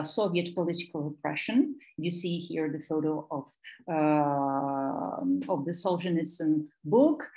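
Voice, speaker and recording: A woman from Russia with a Russian accent, a native Russian speaker, giving an academic presentation in a halting way, often searching for words and repeating them. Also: fairly low pitch, a little mellow, low-quality recording. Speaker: academic researcher and lecturer.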